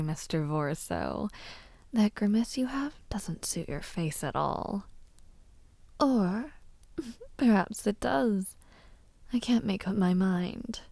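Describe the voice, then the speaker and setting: Woman acting a slow, creaky, haughty villainess princess. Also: fairly low pitch, dark tone, no room sound, heavily acted. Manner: condescending, slow teasing drawl with breathy murmurs. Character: haughty, mocking young villainess princess, coolly cruel. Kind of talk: audio roleplay acting, addressing the listener.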